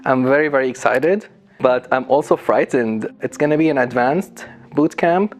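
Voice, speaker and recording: A man's voice: mid-range voice, normal speed, faint music behind. A man in his thirties from Syria, a bootcamp student speaking candidly and reflectively in a personal interview. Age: 30s.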